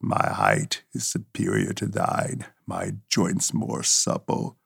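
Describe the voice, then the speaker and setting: A man voicing an anguished male creature who speaks slowly and heavily in a low, husky tone. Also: sing-song, fairly bright tone, no echo, studio quality, full character voice. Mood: pleading. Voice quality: gravelly, husky. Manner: slow, low, heavy pleading.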